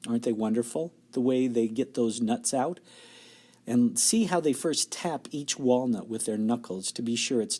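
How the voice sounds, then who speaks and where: A man doing a brisk eccentric factory owner. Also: medium pitch, darkish tone, breathy edge.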